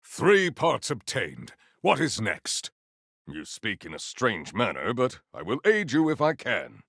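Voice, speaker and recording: An actor playing a solemn, valiant warrior hero in a video game, speaking in formal, archaic, grandiose phrases. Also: male voice, medium pitch, wildly swooping pitch, measured pace, gravelly, a bit bright, no echo, studio quality, exaggerated voice. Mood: stoic, formal. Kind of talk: theatrical video game character lines.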